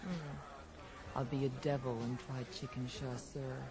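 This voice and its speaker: An actress playing a wry, caring partner who gently nags, in a radio drama. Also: female voice, rumbling low, flat delivery, moderate pace. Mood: wry, concerned. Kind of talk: 1980s radio drama acting.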